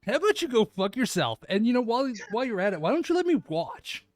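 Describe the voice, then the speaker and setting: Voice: medium pitch, big pitch swings, fast, no room sound, faint music behind, close to an everyday voice. A streamer reading a cocky, crude teenage boy judo star in a visual novel, brash and smug. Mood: defiant.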